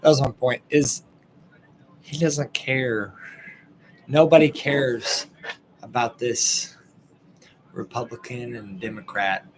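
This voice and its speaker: A Texan man in his late twenties talks through a clip in loose, rambling commentary, blunt and quick to swear. He sounds tired from the late hour, and his speaking pitch sits in an ordinary middle range. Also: steady tone, a little hiss or hum, low-quality recording. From Texas, USA. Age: late 20s. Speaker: podcast host reacting to a clip. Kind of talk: casual commentary.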